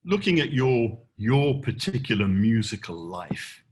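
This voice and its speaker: Slow, husky-voiced male interviewer. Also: mid-range voice, sing-song, a little mellow. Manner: measured, deliberate questions with short backchannels. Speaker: radio interviewer host. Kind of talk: interview questioning.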